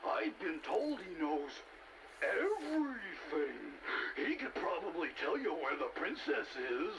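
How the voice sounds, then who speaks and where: An actor voicing a big, friendly furry creature with drawn-out, exaggerated speech in a children's read-along story. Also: medium pitch, sing-song. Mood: friendly, helpful. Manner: drawn-out, wide-swooping delivery. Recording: noisy 1980s cassette.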